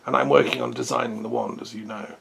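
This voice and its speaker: A man's voice: medium pitch, huge pitch swings, fast talker, gravelly, a crowd in the background. A man hosting an interview, asking rambling, friendly questions. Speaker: host. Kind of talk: casual interview.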